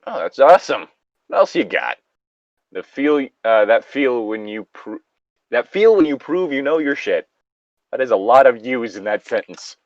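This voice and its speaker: A man's voice: mid-range voice, very animated, moderate pace, no room sound, lo-fi sound. A man co-hosting a story reading, switching between narration and casual, joking asides. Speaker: co-host reading stories aloud.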